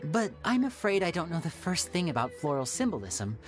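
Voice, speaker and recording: Suave, low-voiced boyish magician. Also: female voice, big pitch swings. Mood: gracious. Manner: smooth, measured, showmanlike. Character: suave, theatrical young male stage magician. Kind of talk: anime game voice acting. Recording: music playing behind.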